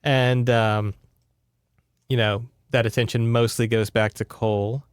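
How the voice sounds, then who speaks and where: Smooth-voiced man, casual podcast storyteller. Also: mid-range voice, little pitch movement, a little slow, no echo, clean studio sound. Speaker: podcast co-host retelling a book. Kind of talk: casual conversational book recap.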